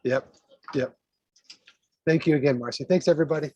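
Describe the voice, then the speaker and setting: A man's voice: on the high side, a little fast, darkish tone, no echo, lo-fi sound. A man reading his poetry aloud at an event, with informal asides, as a guest poet.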